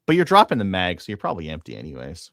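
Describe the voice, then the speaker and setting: A man's voice: low voice, huge pitch swings, rapid-fire, vocal fry, a bit bright, no room sound, clean studio sound. A man co-hosting a podcast in laid-back, offhand casual conversation. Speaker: podcast co-host. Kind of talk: casual chat.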